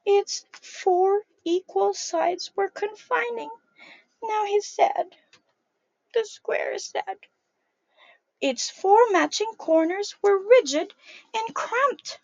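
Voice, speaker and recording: A young woman reading a picture book aloud to young children in a sing-song, animated way, calling them her friends. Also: very high-pitched, slow, gravelly, dry recording, muffled recording. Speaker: early childhood storyteller.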